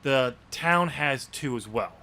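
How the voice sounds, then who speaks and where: An American man in a casual podcast chat, talking haltingly and often restarting his sentences. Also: mid-range voice, lively intonation, pushed and bright. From New York State, US. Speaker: podcast host and author discussing his novel.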